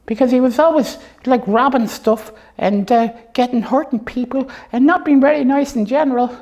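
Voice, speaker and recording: A woman's voice: sits high, normal speed, darkish tone, natural voice. A woman voicing a bossy, childlike know-it-all puppet who rambles through muddled stories in an improvised comic show. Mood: storytelling.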